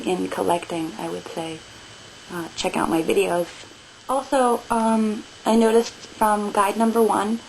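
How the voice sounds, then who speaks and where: A woman's voice: mid-range voice, vocal fry, a little hiss or hum, poor audio. A woman in her twenties talking casually to camera in a small room, rambling with many fillers and restarts. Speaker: vlogger. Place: small bedroom in front of a bookshelf.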